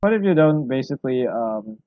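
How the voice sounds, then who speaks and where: A man hosting a solo podcast, rambling and self-deprecating, his voice hoarse from talking a long time. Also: medium pitch, lively intonation, fairly quick, no room sound, narrow, tinny audio. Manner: rambling, self-deprecating, full of fillers and restarts. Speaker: podcast host.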